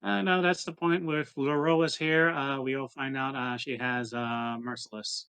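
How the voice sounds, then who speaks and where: A man's voice: mid-range voice, steady tone, normal speed, a bit bright, clear, resonant tone. A man playing a tabletop game, chatting casually and explaining things bluntly with some swearing. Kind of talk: casual game-session chat.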